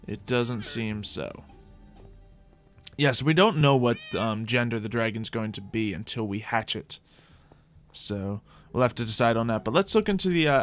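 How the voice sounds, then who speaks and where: A man with a medium-pitched voice narrates his own gameplay close to the mic. He thinks aloud in light roleplay, slipping into formal, old-fashioned fantasy phrasing. Faint music plays behind him. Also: fairly bright tone. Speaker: host of a gaming series.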